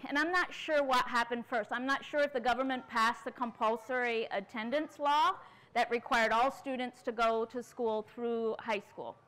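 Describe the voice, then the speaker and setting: A woman's voice: on the high side, fairly bright tone, poor audio. A woman in her forties from the United States, speaking earnestly to a conference audience in a large tent and asking them questions as she tells her story. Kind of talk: lecture.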